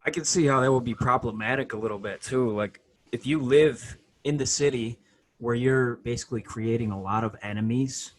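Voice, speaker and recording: Casual man, medium-pitched voice. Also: moderate pace. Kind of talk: casual conversation.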